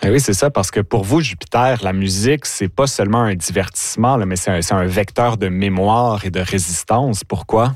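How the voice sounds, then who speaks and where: Low-pitched radio interviewer, dry sound. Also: male voice, moderate pace, few pauses, dry recording.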